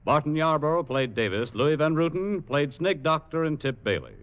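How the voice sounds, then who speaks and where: A man's voice: on the high side, very animated, normal speed. A man announcing in a formal, theatrical 1940s radio style, reading a scripted introduction or credits. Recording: muffled vintage radio recording. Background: loud orchestral score.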